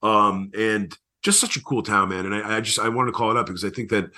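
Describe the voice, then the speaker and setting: A man's voice: lowish voice, fast, bright, forceful tone. A man hosting a podcast in casual interview chat, talking in an effusive, informal way. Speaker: podcast host.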